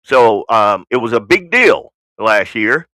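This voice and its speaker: The blunt, folksy man you would hear hosting an evening political show from a dry, close studio, bright and punchy, his American accent flattened from Southern roots. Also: lowish voice, takes it slowly, no echo. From United States. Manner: opinionated, blunt, folksy, rambling asides. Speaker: talk show host. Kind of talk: unscripted political talk show monologue.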